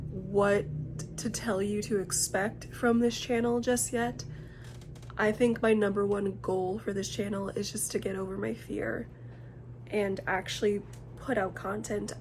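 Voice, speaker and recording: A young woman talking casually to her camera, self-conscious and hesitant, with many fillers and pauses. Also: mid-range voice, a little mellow, slight background noise, poor audio. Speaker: vlogger. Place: indoor room. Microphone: camera mic.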